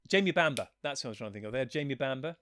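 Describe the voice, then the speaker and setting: A man's voice: medium pitch, animated, very fast, a bit bright, slight background noise. A man speaking casually as a writer being interviewed, in an informal conversational way. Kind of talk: casual chat.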